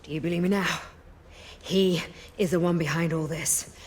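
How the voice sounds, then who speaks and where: A woman's voice: fairly low pitch, fairly even intonation, moderate pace, lightly acted. An actress playing a determined rebel woman in a video game, with an insistent, commanding manner. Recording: faint background ambience noise.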